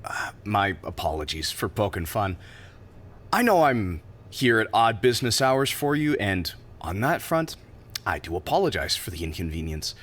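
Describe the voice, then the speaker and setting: A man's voice: lowish voice, very animated, normal speed, a bit bright. A man playing a polite, wordy drifter who poses as a polished businessman in an audio drama. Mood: amused, apologetic. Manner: formal, wordy, polite, hesitant openings. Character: sharply dressed drifter posing as polished New York businessman.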